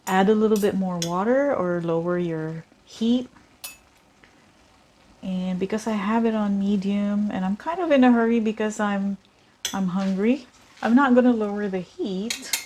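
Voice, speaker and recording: A woman's voice: mid-range voice, a little mellow. A woman from the Philippines, whose first language is Tagalog, chats casually through a cooking demonstration, thinking aloud with many fillers. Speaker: cooking vlogger. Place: home kitchen. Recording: clear kitchen noise behind. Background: clatter of pots and cutlery.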